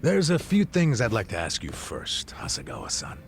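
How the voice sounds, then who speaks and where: An actor voicing a blustering, slangy middle-aged ex-cop who bluffs as a rich benefactor in a video game. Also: male voice, medium pitch, wildly swooping pitch, moderate pace, gravelly, slight background noise. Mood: firm, confronting. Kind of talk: video game cutscene dialogue.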